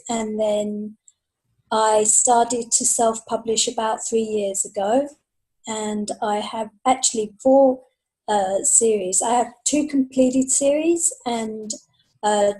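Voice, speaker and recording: A British woman talks as a guest in a casual interview, in a fairly high, mellow voice with a slightly husky edge. She answers thoughtfully, pausing and feeling for her words. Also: low-quality recording. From Britain. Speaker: podcast guest, science fiction author.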